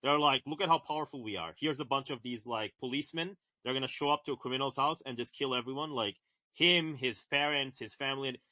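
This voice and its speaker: Co-host, young man from Bangladesh, bright forceful tone, dry close sound, animated and repetitive. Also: medium pitch, a little fast, no echo. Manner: emphatic, repeats words, many "right?" and "like". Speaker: podcast co-host. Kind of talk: casual political chat.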